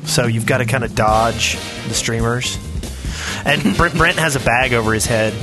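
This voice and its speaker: A man's voice: mid-range voice, normal speed, music in the background, poor audio. A man co-hosting a podcast in casual, playful group banter. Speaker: podcast co-host.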